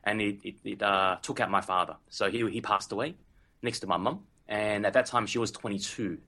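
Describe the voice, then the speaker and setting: A man in his forties with an Australian accent tells his story as an interview guest. His slightly low voice is bright and forceful, and he speaks earnestly with plenty of filler phrases. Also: fairly even intonation, a little fast. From Vietnam. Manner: earnest, leans on "literally" and "so to speak". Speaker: podcast guest.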